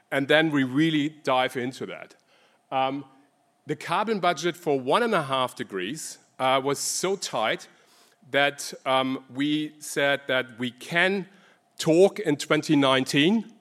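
German-accented male researcher, fairly high-pitched, hesitant conference presenter. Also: a bit bright. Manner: hesitant, many ums and restarts. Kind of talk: conference talk.

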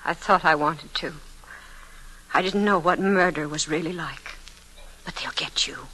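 A woman's voice: deep voice, measured pace, pushed and bright, heavily acted. An actress playing an earnest young woman, frightened yet defiant, intense and accusing, in an old-time radio thriller. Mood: horrified accusation. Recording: faintly noisy vintage radio recording.

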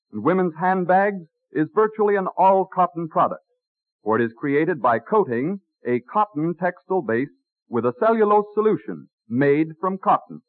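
Male announcer, 1930s radio, formal, deliberate, scripted, fairly high pitch, dry narrow-band recording. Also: no room sound.

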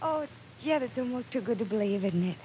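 An actress playing an earnest, affectionate young woman in a 1940s radio drama, questioning gently, on a hissy old recording. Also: on the high side, animated, brisk, lightly acted, rough recording. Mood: earnest, pleading.

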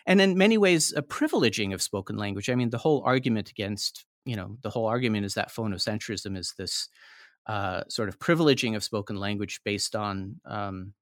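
Hesitant low-voiced academic man. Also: lively intonation, a little fast, dry recording, clean studio sound. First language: not Japanese; learned Japanese as foreign language. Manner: hesitant, many fillers and restarts. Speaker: author guest. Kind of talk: academic interview answer.

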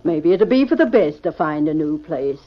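An actress playing an aging rancher's wife, steady and plucky, folksy and gently chiding, in 1940s radio style. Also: female voice, sits low, normal speed. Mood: encouraging. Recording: muffled old radio recording.

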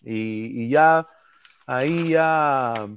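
Gravelly guy, blunt and sweary, talking football. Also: medium pitch, drawn-out words. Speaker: football panelist. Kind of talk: casual football banter.

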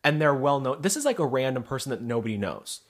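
A man's voice: medium pitch, quick pace. A man co-hosting a podcast from the Pacific Northwest, chatting animatedly about celebrity gossip with lots of "like" fillers. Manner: animated, many "like" fillers, reads excerpts aloud. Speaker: podcast co-host. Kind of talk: casual celebrity gossip chat.

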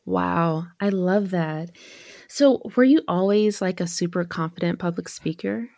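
A woman's voice: on the low side, measured pace, warm tone, dry recording, clean studio sound. A woman hosting a podcast, encouraging her guest and laughing often. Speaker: podcast host. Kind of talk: casual podcast interview.

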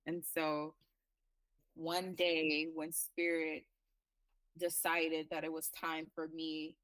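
A woman from Liberia speaks low and unhurried, telling her story as an herbalist guest in long, reflective, winding sentences. Her voice is dry and sits a little back from the microphone. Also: completely flat delivery, a bit bright, smooth, no room sound, distant mic, low-quality recording. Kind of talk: conversational interview answers.

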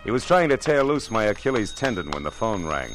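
An actor playing a wry, world-weary private detective in punchy 1940s radio noir style. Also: male voice, on the low side, sing-song, brisk, faint music behind. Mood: wry, brooding.

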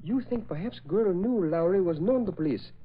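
An actor playing a faithful, shrewd valet who speaks deferential, clipped broken English in a 1940s radio drama. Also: male voice, sits high, lively intonation, normal speed, breathy edge. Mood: shrewd, suggesting. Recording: muffled, tinny old radio broadcast.

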